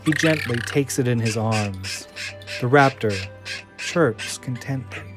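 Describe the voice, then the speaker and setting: A man's voice: mid-range voice, takes it slowly. A man reading scripted screenplay narration for an audio drama. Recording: music under the voice.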